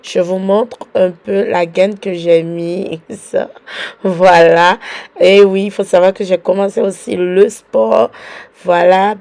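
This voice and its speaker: A young woman from Benin with a Beninese French accent, chatting unhurriedly through a home vlog while nursing a cold and a sore throat, filling her pauses with "voilà". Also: mid-range voice, fairly even intonation, gravelly, a little mellow. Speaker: mom vlogger. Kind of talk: casual home vlog narration.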